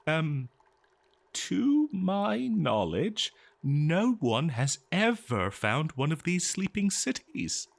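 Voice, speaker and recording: A man performs a kindly male archaeologist in a fantasy audio roleplay. His voice sits a little high and swings widely in pitch with scholarly wonder. He speaks slowly and haltingly, stammering as he addresses the listener directly. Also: very animated, vocal fry, exaggerated voice. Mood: sympathetic, halting, then hopeful, excited. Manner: hesitant, stammering, awestruck scholarly enthusiasm. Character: eager, kindly male archaeologist befriending a lonely monster. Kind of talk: scripted fantasy audio roleplay, one-sided dialogue to listener.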